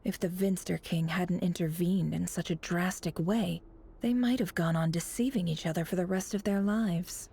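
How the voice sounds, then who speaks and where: A woman playing a composed, shrewd mind reader in a video game, musing in a measured, self-assured way. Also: fairly low pitch, steady tone, vocal fry, warm tone, breathy edge. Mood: pensive, analytical. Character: composed, shrewd, analytical woman reading others' minds. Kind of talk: video game character monologue.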